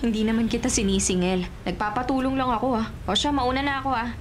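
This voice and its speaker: A high-voiced, crafty woman impostor, played by an actress, telling and living her own story in a Filipino radio drama. She is a scheming forger, and prominent dramatic music runs behind her voice. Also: fairly even intonation, fast talker, close to an everyday voice. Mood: casual, brisk. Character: cunning female document forger posing as a dead woman. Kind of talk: Filipino radio drama acting with first-person narration.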